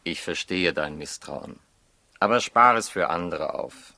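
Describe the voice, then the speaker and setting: An actor playing a calm, authoritative astronomer from the East in a 1960s radio drama, courteous and reassuring. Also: male voice, sits low, unhurried, vocal fry, no room sound, exaggerated voice. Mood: calm, discreet. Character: calm, courteous leader of travelling Eastern astronomers.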